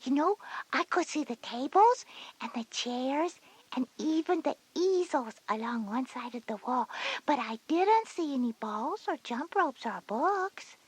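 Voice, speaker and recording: Breathy, whispery little girl. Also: high-pitched, expressive, a little slow, no echo, low-quality recording. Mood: puzzled, opinionated. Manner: inquisitive, childlike. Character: strange, breathy little kindergarten girl, curious younger sister. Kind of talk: scripted children's educational audio drama.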